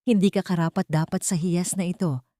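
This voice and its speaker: A woman reads as a wealthy man who has been betrayed, in a melodramatic audio story. The delivery is stern and accusing, full of anguish, in a fairly low register for a female voice. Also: steady tone, fairly quick, mellow, dry recording, clean studio sound, natural voice. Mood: cold disgust.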